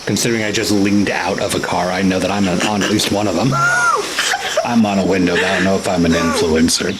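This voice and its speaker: A man's voice: low-pitched, huge pitch swings, brisk. A man playing a tabletop role-playing game with casual in-character banter. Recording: clear background ambience noise.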